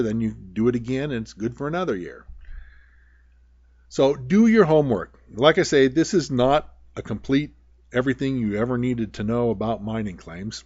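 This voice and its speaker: A man's voice: medium pitch, darkish tone. A man who works as a prospector gives an informal, plain-spoken tutorial over slides, often circling back to repeat his points. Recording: dull, lo-fi voice-over.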